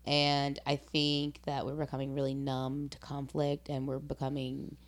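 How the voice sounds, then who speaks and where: Woman, low register, casual conversational host, earnest, deliberate, opinionated. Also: monotone.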